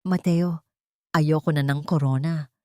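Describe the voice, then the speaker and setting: Weary, tender mother, lower-pitched narrator's acting. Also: fairly even intonation, unhurried, dark tone, no room sound, clean studio sound. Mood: weary, resolved. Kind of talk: storybook drama dialogue.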